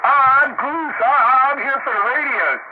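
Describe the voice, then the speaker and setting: A man's voice: very high voice, a little slow. An older man chatting casually over the radio in a blunt, folksy way. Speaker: amateur radio enthusiast on a round-table net. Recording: tinny narrowband radio link, loud static.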